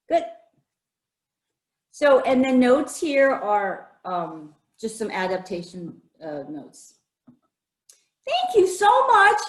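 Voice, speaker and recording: A woman storyteller performing a folktale read-aloud for children, addressing listeners directly with questions and prompts to join in. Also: high-pitched. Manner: interactive, asking listeners questions and inviting repetition. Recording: roomy, echoing sound.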